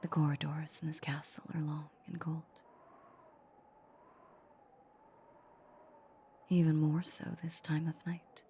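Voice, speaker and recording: A woman playing a tender, possessive vampire countess in an intimate ASMR audio drama, speaking in a soft, breathy, coaxing whisper. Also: low voice, flat delivery, slight background noise, close to an everyday voice. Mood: wistful, lonely. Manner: slow, coaxing, intimate murmur.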